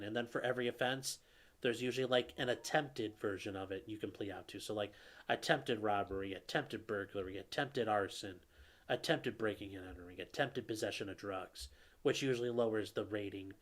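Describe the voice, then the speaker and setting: A man's voice: medium pitch, fairly bright tone. Casual man answering live chat. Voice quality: calls his own voice nasally; a viewer calls it velvety. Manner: conversational, answers viewer questions with fillers and restarts. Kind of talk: casual live-stream commentary.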